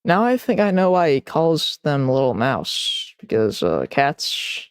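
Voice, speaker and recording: A man's voice: a little high, big pitch swings, unhurried, smooth, no echo, studio quality. A man hosting a reaction video, giving casual, slangy commentary and muttered asides.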